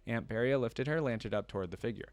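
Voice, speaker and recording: A man reading a story aloud as a reaction host, expressive in the telling, with casual asides. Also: medium pitch, fast talker, no echo.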